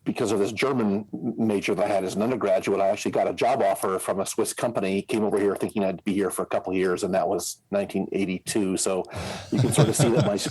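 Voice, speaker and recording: Older american guy, midwest accent, kinda husky, lots of ums and restarts as he talks. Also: low voice, steady tone, fast, darkish tone, rough recording. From United States. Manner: rambling, many fillers and restarts. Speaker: guest, scenario planner. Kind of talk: casual interview talk.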